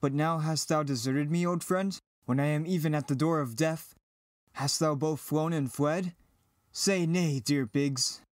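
Guy as earnest young hero pilot doing shakespeare style verse, highish voice, dry sound. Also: a little slow, dry recording, natural voice. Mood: reproachful, pleading. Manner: declamatory, earnest. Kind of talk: dramatic reading in Elizabethan verse.

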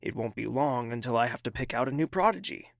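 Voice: medium pitch, lively intonation, fast, vocal fry, no room sound, clean studio sound. An actor playing a haughty, impatient young royal prince in an audio drama, clipped and condescending. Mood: relaxed, familiar.

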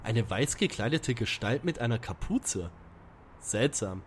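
A man's voice: mid-range voice, lively intonation, a little slow, a little hiss or hum, natural voice. An actor playing a dry, suspicious young male detective in a parody audio drama.

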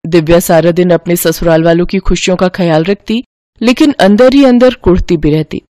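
A woman's voice: on the low side, moderate pace, warm tone, no echo, studio quality. A woman narrating a scripted family story as a storyteller.

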